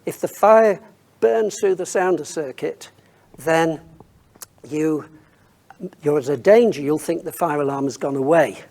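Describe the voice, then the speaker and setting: A man's voice: on the high side, measured pace, vocal fry, long pauses, darkish tone. A man speaking as an industry expert on a panel, explaining technical points informally and thinking aloud as he goes.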